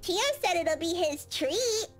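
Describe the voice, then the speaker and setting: Very high-pitched little girl. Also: unhurried. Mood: coaxing. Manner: childlike, coaxing. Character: sweet, cheeky, persuasive little girl. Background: prominent game music.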